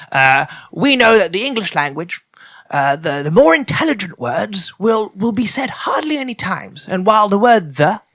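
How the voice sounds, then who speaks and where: A man's voice: high-pitched, pushed and bright, no room sound, full character voice. A man playing a flustered, apologetic translator of alien languages in an improvised comedy sketch, hesitant, stammering, polite and rambling.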